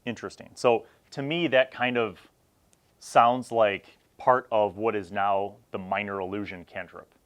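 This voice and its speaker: Male review host, 40s, lowish pitch, casual and reflective, conversational phrasing with pauses. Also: steady tone. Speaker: host of a vintage tabletop gaming review series. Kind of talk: casual unscripted review talk to viewers.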